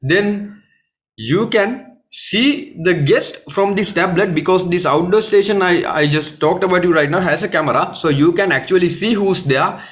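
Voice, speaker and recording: A man with a Sri Lankan accent leads a technical webinar. His voice sits a little high for a man. He explains things plainly, with halting phrasing and frequent repetition. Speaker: technical trainer. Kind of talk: live webinar training presentation.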